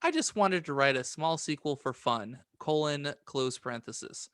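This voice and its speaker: A man's voice: on the high side, expressive, moderate pace, fairly bright tone, clean tone, no echo, studio quality. A man co-hosting a podcast, chatting casually and sometimes reading notes aloud. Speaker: podcast co-host.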